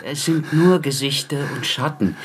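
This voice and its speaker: An actor playing a composed, solicitous attendant in a radio drama, speaking politely, in measured and reassuring phrases. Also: male voice, a little high, slight background noise, lightly acted, low-quality recording. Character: solicitous, composed male attendant of an old professor.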